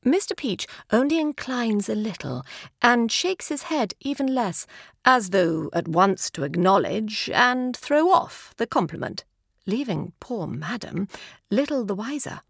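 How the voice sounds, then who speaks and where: A woman's voice: fairly high pitch, expressive, a little slow, creaky, breathy edge, dry recording, studio quality. A woman with an English accent narrating a literary story in an arch, formal, theatrical storyteller's manner. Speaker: audiobook narrator.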